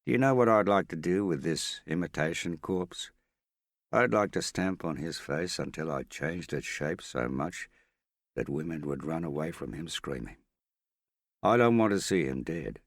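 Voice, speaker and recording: A man's voice: a bass voice, no room sound, studio quality, heavily acted. A man doing a scornful, brusque hater. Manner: rough, scornful. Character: contemptuous, brusque man full of hatred.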